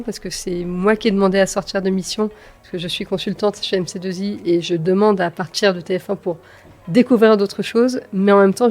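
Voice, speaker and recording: A woman in her thirties tells personal stories conversationally as an interview guest, with halting phrasing and repeated words. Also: mid-range voice, a little mellow. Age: 30s. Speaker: podcast guest, engineer and manager.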